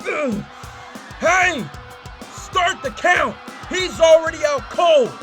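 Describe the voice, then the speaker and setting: Casting: male actor as boastful arena brawler for a visual novel, very high voice, slow taunting delivery, music underneath. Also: expressive, fairly bright tone, raised voice, exaggerated voice. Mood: angry. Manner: taunting, boastful, foul-mouthed. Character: cocky, loud-mouthed brawler, a gloating male tournament fighter.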